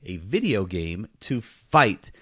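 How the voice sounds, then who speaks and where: A man's voice: on the low side, sing-song, takes it slowly, breathy edge. A man co-hosting a gaming podcast in casual, joking banter with a mock-serious way of talking. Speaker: podcast co-host.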